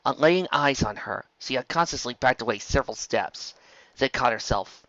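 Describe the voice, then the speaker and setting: A man's voice: mid-range voice, lively intonation, normal speed, bright, forceful tone, no echo. A man giving a live storybook narration as the reader, on a dry, lo-fi recording. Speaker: narrator and reader of fan fiction.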